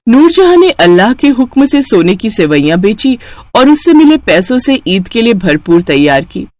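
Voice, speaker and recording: High-voiced woman telling a moral tale. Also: big pitch swings, normal speed, darkish tone, no echo. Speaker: storyteller. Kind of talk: scripted children's moral story narration.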